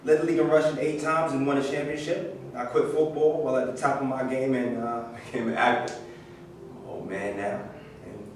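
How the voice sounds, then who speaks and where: Man playing halting old football star, very echoey. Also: mid-range voice, fairly even intonation, lightly acted. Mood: halting, reflective. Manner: halting, hesitant. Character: old male former football superstar turned actor. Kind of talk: staged play reading. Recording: echoey room, far mic, faint noise.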